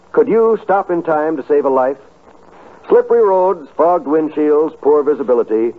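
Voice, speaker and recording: A man's voice: a little high, breathy edge. An American man reading scripted 1950s radio announcements in a polished, earnest manner. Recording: tinny, muffled 1950s radio broadcast.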